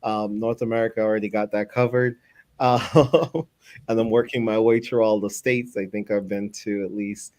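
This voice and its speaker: Lowish-voiced man hosting a casual chat. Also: level tone, moderate pace, darkish tone, no echo. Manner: friendly, rambling, laughs easily. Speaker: host. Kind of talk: casual live-stream chat.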